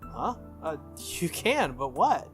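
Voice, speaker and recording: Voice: medium pitch, big pitch swings, slow, over music. A game player voicing an earnest, stubborn, easily flustered teenage boy from a visual novel, hesitant and defensive.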